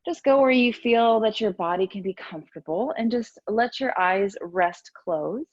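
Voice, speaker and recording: A woman teaching yoga and meditation, giving gentle, soothing, unhurried guidance with pauses between instructions. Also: mid-range voice, animated, no room sound, dull audio.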